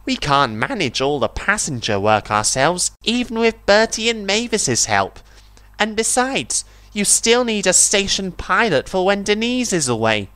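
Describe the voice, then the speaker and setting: A man's voice: high-pitched, big pitch swings, a little slow, bright, forceful tone, no room sound, voice pushed up, full character voice. A man voicing an earnest, polite female diesel railcar in a children's story. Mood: worried. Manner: polite, questioning.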